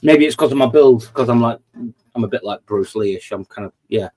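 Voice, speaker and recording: A man's voice: mid-range voice, brisk, darkish tone. A man, hosting a casual late-night chat, rambling and boasting and laughing at his own stories. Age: 40s. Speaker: host of a live chat panel.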